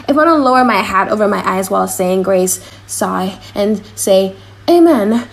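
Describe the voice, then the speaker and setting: A woman voicing a brash, playful young gentleman with a bold, mock-solemn manner in an audiobook drama. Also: mid-range voice, lightly acted.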